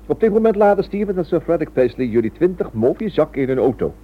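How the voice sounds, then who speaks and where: Captive in an old radio drama, talks really quick, urgent n defiant, tinny sound. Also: male voice, a little high, big pitch swings, breathy edge. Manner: urgent, sardonic, insistent. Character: defiant male captive reasoning with his would-be killers. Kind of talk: radio play acting. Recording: thin narrow-band old radio drama recording.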